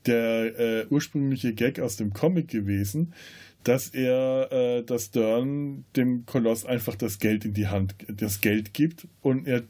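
A man co-hosting a podcast, casually discussing film in a halting, searching way. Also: mid-range voice, mellow. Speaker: podcast co-host.

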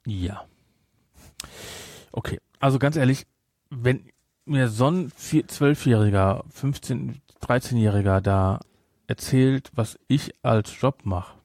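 A man's voice: medium pitch, takes it slowly, darkish tone, dry recording, studio quality. A man with a German accent chatting casually as a podcast co-host, explaining things in a rambling way with frequent self-corrections. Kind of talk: casual film discussion chat.

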